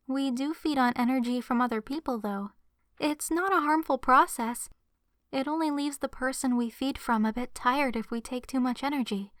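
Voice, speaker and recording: High, gentle succubus girl, acted by a woman. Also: moderate pace, no echo, studio quality. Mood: earnest, confiding. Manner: soft, earnest, hesitant, confiding. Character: gentle young adult succubus woman, shy lovestruck best friend. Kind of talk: ASMR roleplay, addressed to the listener. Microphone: close microphone in a dry space.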